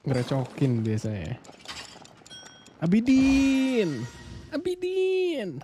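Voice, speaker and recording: A man's voice: extremely high pitch, wildly swooping pitch, slow pace, mellow, slight background noise. A man with an Indonesian accent giving casual, playful, exclamatory commentary while live-streaming a game. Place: indoor room, streaming setup. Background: game music.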